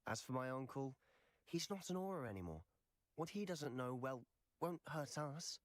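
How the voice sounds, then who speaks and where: A man acts out a teenage student wizard for a video game, determined and a little reckless. He speaks earnestly and presses his point with persuasive insistence, in a mid-pitched voice. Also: lively intonation, moderate pace, lightly acted. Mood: confident, scheming. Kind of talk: scripted video game dialogue.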